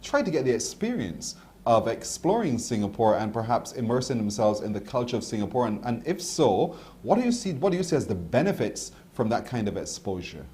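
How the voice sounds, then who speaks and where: Morning show host, mellow voice. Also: male voice, mid-range voice, very animated, brisk, hardly any pauses. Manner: friendly, encouraging, laughs along. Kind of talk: broadcast interview.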